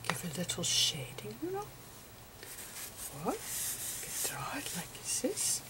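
A woman giving an ASMR lecture in a breathy whisper, speaking in halting short phrases. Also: medium pitch, huge pitch swings, low-quality recording. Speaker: ASMR performer playing a physicist. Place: close over a chalkboard on a table indoors.

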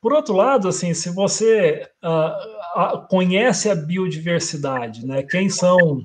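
A middle-aged man on a video call, giving a relaxed explanatory talk and thinking aloud, often ending sentences with a tag question. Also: high voice, measured pace. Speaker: guest lecturer. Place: home room, indoors.

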